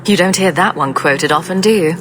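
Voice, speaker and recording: Wry, defiant adventurer heroine played by an actress. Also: female voice, lowish voice, a little fast, a bit bright, a little hiss or hum, lightly acted. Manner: dry, sardonic. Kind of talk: video game voice acting.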